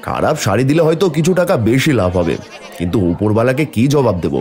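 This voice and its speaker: A man playing an honest, kindly village sari seller and family man, gentle and earnest, in a moral-tale cartoon. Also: mid-range voice, sing-song, fast talker. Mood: earnest, grateful.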